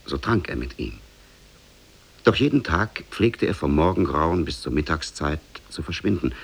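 A man narrating a fairy tale in a measured, scripted 1950s radio storytelling manner. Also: low-pitched, creaky, slightly rough.

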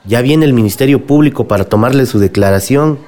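A man playing a village mayor in a radio drama. He speaks in a formal, measured way at a medium pitch, guarded and official. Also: mellow, natural voice.

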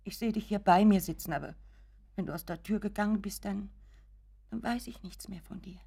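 Radio play mother: middle-aged, doting and worried, breathy mid-range voice, pleading, drawn-out delivery. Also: medium pitch, mellow. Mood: anxious, pleading. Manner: pleading, drawn-out, dropping into whispers. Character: anxious, doting mother clinging to hope for her son. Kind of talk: German radio play acting.

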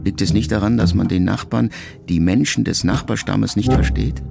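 A middle-aged actor playing a reflective grown son, a writer, who addresses his dying mother in a measured, confessional literary monologue for a radio play. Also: lowish voice, vocal fry. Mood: sombre, brooding. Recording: music behind the voice. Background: music.

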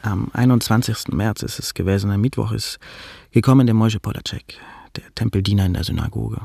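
Radio drama: melancholy storytelling butcher, Yiddish accent, deep and creaky, close dry sound. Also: male voice, a little fast, darkish tone, no echo. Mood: sorrowful. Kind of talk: radio play monologue.

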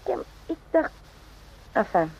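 An actress playing a wry, kindly army doctor in a radio drama, questioning and dryly teasing. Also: female voice, unhurried, natural voice. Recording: muffled old radio recording with hiss.

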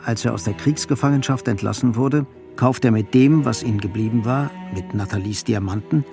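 Creaky-voiced man narrating a radio drama. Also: medium pitch, darkish tone.

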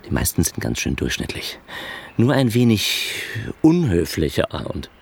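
A man acting in a German radio drama as a polite, fussy, aggrieved arts administrator, halting but expressive. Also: fairly low pitch, gravelly, husky, heavily acted. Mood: bitter, musing.

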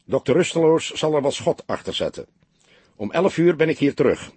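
Guy doing older cop in an audiobook, fatherly n teasing, bit husky, dry close sound. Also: fairly high pitch, very animated, normal speed, a little mellow, no echo, voice pushed up. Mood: brisk, wry. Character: seasoned, kindly, wry older police detective.

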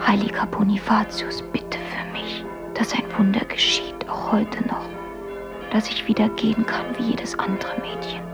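An actress playing a timid young foster daughter with a limp, speaking in a hushed, meek, pleading way in a radio play. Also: female voice, a little high, vocal fry.

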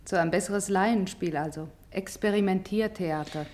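A woman's voice: mid-range voice, normal speed, darkish tone, close to an everyday voice. A woman playing a proud, disdainful stage actress in a German radio play, speaking in a dry, haughty way.

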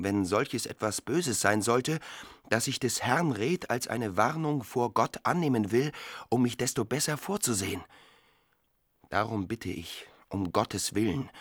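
A man's voice: medium pitch, animated, a little slow, a bit bright, no echo, clean studio sound. A man acting a proud, hot-tempered army lieutenant in a radio drama, speaking in formal, archaic, pressing phrases. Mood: insistent, courteous.

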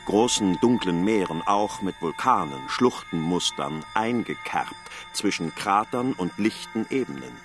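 A man's voice: sits low, animated, unhurried, few pauses, over music. A man playing a lyrical narrator in a radio drama, reciting poetic description and savouring each phrase.

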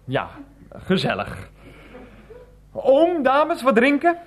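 An actor playing a sheepish, hesitant adult nephew in a radio play, halting and earnest. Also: male voice, very high-pitched, wildly swooping pitch, exaggerated voice. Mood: forced cheer. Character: hesitant, sheepish adult nephew torn between two women.

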